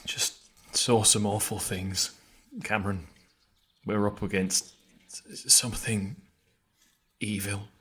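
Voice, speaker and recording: A man with a fairly low voice plays in a tabletop roleplaying game. He improvises, moving between table talk and his character's words. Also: level tone, slow pace, pauses often, a bit bright, breathy edge.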